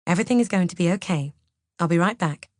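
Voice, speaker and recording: A woman voicing a gentle man who speaks softly and reassuringly, as a character in an audiobook story. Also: mid-range voice, lively intonation, normal speed, dry recording, studio quality, lightly acted. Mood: soothing. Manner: soft, placating, reassuring. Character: gentle, soothing man calming an upset household.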